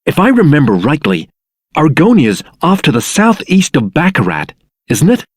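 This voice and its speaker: An actor playing a wary, earnest, thoughtful adventurer in a video game, with a husky voice. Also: male voice, on the high side, huge pitch swings, normal speed, dry recording, clean studio sound, heavily acted. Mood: pondering. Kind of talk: video game character acting.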